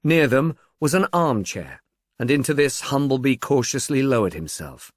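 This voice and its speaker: A man's voice: mid-range voice, sing-song, normal speed, dry recording, clean studio sound. A man reading literary fiction as a scripted audiobook narration.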